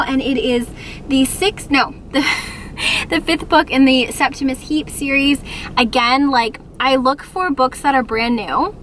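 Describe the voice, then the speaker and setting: A young woman talks to her camera in a casual, bubbly book-vlog style, filling her sentences with "like" and "um." Her voice sits high. She sounds a little sniffly from a cold that is coming on. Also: fairly bright tone, slight background noise. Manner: bubbly and chatty, many "like"s and "um"s. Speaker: book vlogger.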